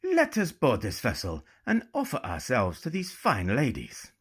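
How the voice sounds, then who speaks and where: A man voicing a menacing, lecherous French pirate captain in an audiobook, commanding and deliberate. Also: medium pitch, huge pitch swings, slightly rough, exaggerated voice. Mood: lustful, commanding.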